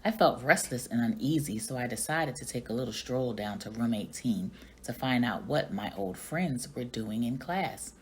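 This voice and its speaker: A woman reading a children's story aloud like a teacher, with expressive narration. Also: extremely low pitch, moderate pace, slight background noise.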